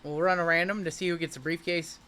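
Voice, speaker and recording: Man, card break host, hyped and joking, voice going hoarse, high and bright. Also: steady tone, quick pace, a little hiss or hum. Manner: hyped, joking, calls out cards as they are pulled. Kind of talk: livestream card break commentary.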